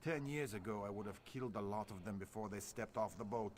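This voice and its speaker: A gruff old fighter from a fantasy video game, played by a male actor. He grumbles bitterly, like a veteran who misses battle. Faint noise sits behind the voice. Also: fairly low pitch, a little fast, close to an everyday voice. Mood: bitter, grumbling. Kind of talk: video game character acting.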